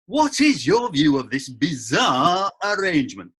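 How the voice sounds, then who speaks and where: A gruff, husky magistrate voiced by a woman presides over an old-time courtroom in an audio drama. The voice sits low and bright-edged, swinging widely in pitch as the questions fly. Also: very animated, measured pace, heavily acted. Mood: stern. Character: stern presiding male magistrate. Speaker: judge. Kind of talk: amateur radio play acting.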